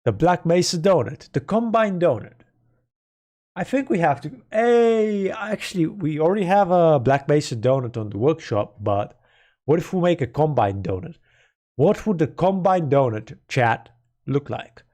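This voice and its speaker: A man streaming and chatting casually with his viewers in a rambling, playful, mock-dramatic way, his voice hoarse from a sore throat. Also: a little high, very animated, measured pace, mellow. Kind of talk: casual livestream chat with viewers.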